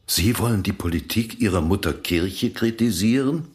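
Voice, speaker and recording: An actor playing a cool, calculating vicar-general of the church, in a radio play. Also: male voice, fairly low pitch, expressive, normal speed, close to an everyday voice.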